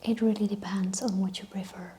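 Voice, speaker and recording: A Czech-accented woman whose first language is Czech, giving a soft-spoken ASMR lesson in a whispery voice with hesitant ums and likes. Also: medium pitch, little pitch movement, brisk, a little hiss or hum. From Czech Republic. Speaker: ASMR host.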